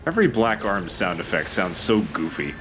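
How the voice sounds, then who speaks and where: A man's voice: deep voice, sing-song, moderate pace. A man giving casual, joking banter as a let's play commentator. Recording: game music behind the voice.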